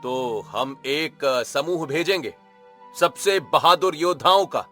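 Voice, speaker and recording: A man voicing a loyal, anxious warrior chief in an animated story, dramatic and hesitant. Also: fairly high pitch, takes it slowly, soft background music. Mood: concerned.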